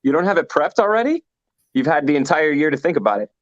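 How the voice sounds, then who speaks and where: A man's voice: a little high, very animated, quick pace, no room sound. A man chatting casually as a band vocalist being interviewed online, joking and swearing freely. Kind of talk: casual banter on an online music show. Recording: dull lo-fi call audio.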